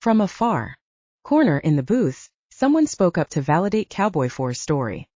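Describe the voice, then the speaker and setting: A woman narrates romance fiction in a scripted audiobook style. Her pitch sits a little low but moves in lively swings. The recording is close and dry. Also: normal speed, no echo, muffled recording.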